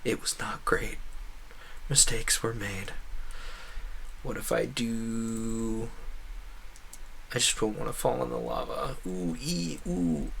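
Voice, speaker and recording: A man giving casual, rambling commentary as a streamer, animated and jokey, often interrupting himself and muttering asides in his native English. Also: on the low side, takes it slowly, vocal fry, pauses often, a little hiss or hum, poor audio. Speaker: gaming streamer.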